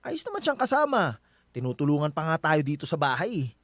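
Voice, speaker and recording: A trusting but stubborn husband in a Filipino radio drama. He talks casually and persuasively in a slightly high male voice with big swings of intonation, recorded close and dry. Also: wildly swooping pitch, fairly quick, fairly bright tone, no room sound. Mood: defensive.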